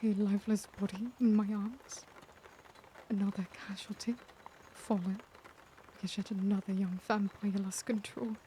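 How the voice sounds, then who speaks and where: A woman acts out a tender, tormented vampire lady in an intimate ASMR roleplay. Her voice is dark and relaxed, often slipping toward a breathy whisper, with formal, old-fashioned phrasing. Faint-to-clear background ambience sits behind her. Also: medium pitch, moderate pace, a little hiss or hum, natural voice. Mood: sorrowful, pleading.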